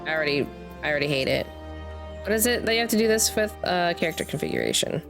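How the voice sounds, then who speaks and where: A woman's voice: low-pitched, lively intonation, quick pace, vocal fry. A woman streaming a video game, chatting casually and muttering to herself. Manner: talks to self, sings little filler syllables. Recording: game music behind the voice. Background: game music.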